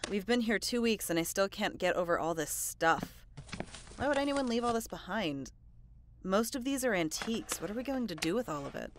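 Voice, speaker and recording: Woman playing earnest wife, mid-pitched voice. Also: animated, moderate pace, slight background noise, close to an everyday voice. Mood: mildly exasperated. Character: friendly, earnest wife and mother. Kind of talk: amateur radio drama acting.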